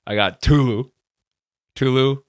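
A man's voice: mid-range voice, unhurried, dry recording, studio quality. A young man hosting a podcast interview, upbeat and eager, often laughing. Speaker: podcast host. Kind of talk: casual interview.

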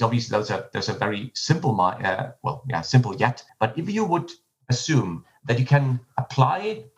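Man from Germany explaining carefully. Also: mid-range voice. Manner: measured, explanatory, frequent restarts. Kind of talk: podcast interview answers.

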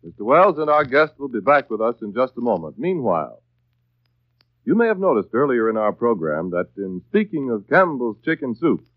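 Male radio pitchman, lively swooping delivery, polished and persuasive, dry muffled 1930s broadcast sound. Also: no echo. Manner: polished, emphatic, folksy persuasion. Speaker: radio announcer and sponsor pitchman. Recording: dull vintage 1930s radio recording.

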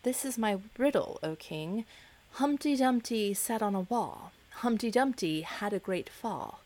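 A woman voicing a humble, dreamy young male suitor in a storybook reading, speaking softly and earnestly. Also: medium pitch, animated, measured pace, natural voice.

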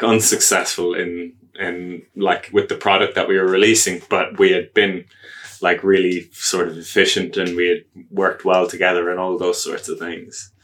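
A man's voice: low voice, fairly bright tone. An Irish man in his thirties, an agile coach telling his career story in a casual interview, chatty and self-deprecating with many ums and restarts. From Ireland.

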